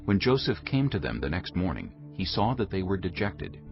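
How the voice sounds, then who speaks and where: A man giving a solemn, measured and dramatic narration of scripture, formal in delivery. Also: deep voice, sing-song, dull audio, faint music behind.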